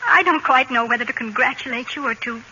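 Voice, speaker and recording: An actress playing an anxious, warm-hearted housewife and mother, earnest and easily flustered, in an old radio comedy. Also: high voice, fast talker. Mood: relieved, affectionate. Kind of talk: 1940s radio comedy acting. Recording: noisy, muffled old radio broadcast.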